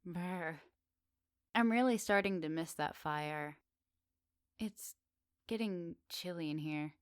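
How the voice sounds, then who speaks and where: A woman playing a flirty, teasing witch in a soft, intimate ASMR roleplay. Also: fairly low pitch, no echo, clean studio sound. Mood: calm, coaxing. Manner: soft, teasing, giggly, unhurried. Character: teasing, flirty, lonely witch in a woodland cottage.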